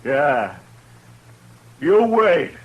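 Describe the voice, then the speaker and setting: Angry vengeful frontier guy, threatening, slightly high voice, old radio drama. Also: very animated, very slow, dull audio, a little hiss or hum, full character voice. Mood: hostile. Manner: hostile, accusing, threatening. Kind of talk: 1950s radio acting.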